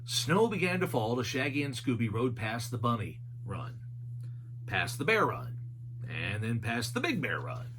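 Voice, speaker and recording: A man reading a children's story as narrator, with dramatic, deliberate phrasing. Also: medium pitch, sing-song, noisy background.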